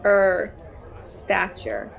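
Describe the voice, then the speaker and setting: A woman's voice: fairly low pitch, sing-song, drawn-out words, creaky, highs cut off, exaggerated voice. A young girl acting a bold, bossy would-be adventurer in an amateur fantasy radio play. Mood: indignant. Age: child, 10 to 13.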